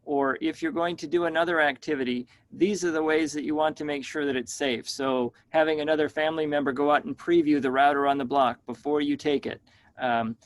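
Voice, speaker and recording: A man moderating a professional discussion over a video call, measured and thoughtful, asking the group open questions. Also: a little high, steady tone.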